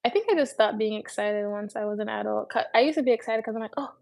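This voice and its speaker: A young woman in casual conversation as a podcast co-host, musing in a low-key way. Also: quick pace, vocal fry, a little mellow. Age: young adult. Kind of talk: casual chat.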